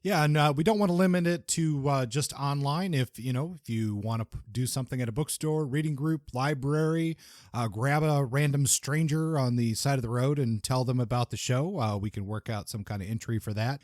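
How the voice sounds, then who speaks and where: A man's voice: mid-range voice, a little fast, a little mellow, no echo, clean studio sound. A man hosting a podcast in a casual, conversational style, addressing the listeners. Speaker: podcast host.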